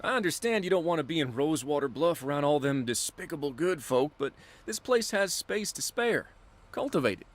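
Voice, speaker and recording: A lively, folksy frontier lawman. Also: fairly high pitch, brisk. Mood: eager, encouraging. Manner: animated, encouraging, colloquial. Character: genial, folksy frontier lawman in a post-apocalyptic western. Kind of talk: video game cutscene acting. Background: background music.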